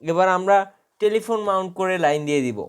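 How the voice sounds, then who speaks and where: A man's voice: high-pitched, fast talker, smooth. A man narrating a scripted how-to tutorial, explaining step by step. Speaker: tutorial host.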